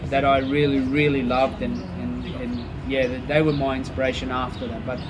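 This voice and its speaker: A man's voice: medium pitch, level tone, moderate pace. A man, a football coach answering interview questions in an easygoing, chatty way. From Chile. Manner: easygoing, chatty, loose and friendly. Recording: loud traffic noise behind. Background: passing vehicles.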